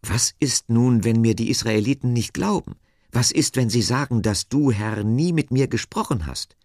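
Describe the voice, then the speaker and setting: Man voicing an anxious Moses, pitched up. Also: normal speed, a little mellow, no room sound, studio quality, close to an everyday voice. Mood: worried. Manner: hesitant, pleading, questioning. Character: Moses, anxious, reluctant, pleading grown man.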